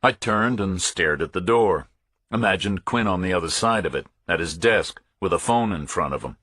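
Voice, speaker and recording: A man narrates a thriller audiobook in a very low, raspy voice. His delivery is measured and matter-of-fact, and the sound is dry and close. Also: lively intonation, fairly bright tone, dry recording. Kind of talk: scripted first-person thriller narration.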